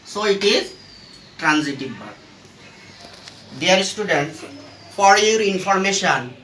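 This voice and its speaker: A teacher giving a grammar lesson to students, speaking deliberately in short, broken phrases. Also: mid-range voice, sharp tone. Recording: echoey, distant mic with faint noise.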